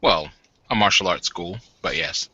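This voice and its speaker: Male player as teasing, newly married werewolf warden, blunt tabletop game character. Low-pitched and very bright, lo-fi capture. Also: a little slow, breathy edge, full character voice. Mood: matter-of-fact. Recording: dull lo-fi audio.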